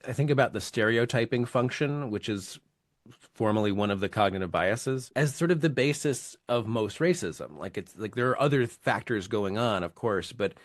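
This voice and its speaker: A man hosting a podcast in casual discussion, reflective, speeding up on quick asides. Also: fairly low pitch, animated, dry recording, clean studio sound.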